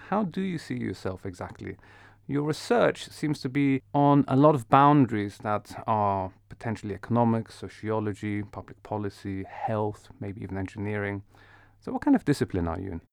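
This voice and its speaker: Low-voiced man hosting, slightly gravelly. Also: no echo, studio quality. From Netherlands. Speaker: interview host, academic economist. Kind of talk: conversational academic interview.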